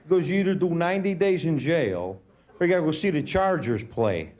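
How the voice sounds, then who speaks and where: A middle-aged man with a Brooklyn accent doing a laid-back, deadpan stand-up set, full of "you know" and "uh" fillers. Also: a little high, brisk. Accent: Brooklyn New York. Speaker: stand-up comedian. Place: comedy club stage with laughing audience. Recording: live club recording with audience laughter and room noise.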